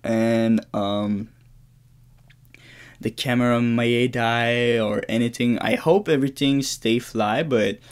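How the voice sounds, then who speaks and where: A man's voice: mid-range voice, fairly even intonation, takes it slowly, clear, resonant tone. Early twenties quebec guy, french accent, just talking into his camera in his bedroom, loose and swearing a lot. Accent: Québécois French. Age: 20s. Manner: rambling, casual, swears often, frequent restarts. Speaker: podcast host. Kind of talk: unscripted solo podcast monologue. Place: his bedroom in a shared apartment.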